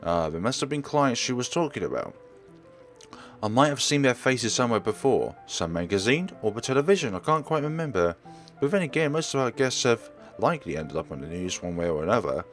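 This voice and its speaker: A man's voice: medium pitch, sing-song, a little fast, faint music behind. A man reading a visual novel aloud as a let's player, narrating expressively and sometimes stumbling and correcting himself.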